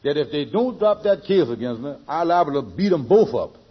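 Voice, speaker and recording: A middle-aged schemer from a 1940s radio comedy, full of bluster. His voice is high and husky, and he stammers and repeats phrases as he talks. The vintage recording is muffled. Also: sing-song, brisk. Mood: boastful, scheming. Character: scheming, blustering middle-aged con man, big talker. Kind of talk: 1940s radio sitcom acting.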